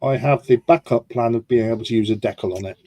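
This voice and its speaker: A man's voice: medium pitch, fast, warm tone, dry recording. A man co-hosting a casual model-building chat, matter-of-fact as he explains his building steps.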